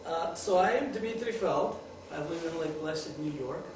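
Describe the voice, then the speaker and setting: A man's voice: on the high side, lively intonation, normal speed. Man, echoey hall. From Soviet Union, grew up in Kyiv. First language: Russian. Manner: joking, laughing, broken English. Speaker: guest speaker at a benefit. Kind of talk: informal talk to an audience. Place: lit stage in an arts center room. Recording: echoey hall, distant mic, noisy.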